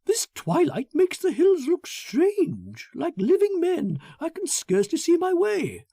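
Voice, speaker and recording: Storybook role: male narrator as a fretful, fussy, courteous man with a raised, querulous pitch. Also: wildly swooping pitch, unhurried, gravelly, dark tone, no room sound, clean studio sound, heavily acted. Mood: uneasy. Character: thin, nervous, fussy man with a cold.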